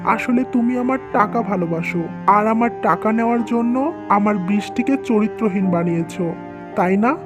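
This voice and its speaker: A woman's voice: medium pitch, moderate pace, natural voice. Woman acting young husband in a cartoon, music behind. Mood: hurt. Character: stern, hurt young husband and son. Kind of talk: dubbed cartoon drama dialogue.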